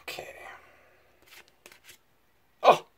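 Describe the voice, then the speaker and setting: A man's voice: drawn-out words, a bit bright, whispered. A man giving casual unboxing commentary in short, muttered, fragmentary remarks. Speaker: card pack opening host. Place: indoors at a table covered with cards.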